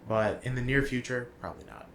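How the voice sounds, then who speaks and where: A man co-hosting a podcast, chatting casually and quick to protest when teased. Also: medium pitch, expressive, creaky, a bit bright, slight background noise. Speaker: podcast co-host. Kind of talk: casual chat.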